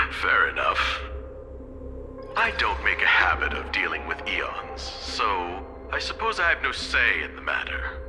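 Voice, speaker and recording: A man playing a shrewd, composed corporate executive in a video game, suave and deliberate, with music behind him. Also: low voice, sing-song, heavily acted. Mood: cool, wry. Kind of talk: scripted video game voice acting.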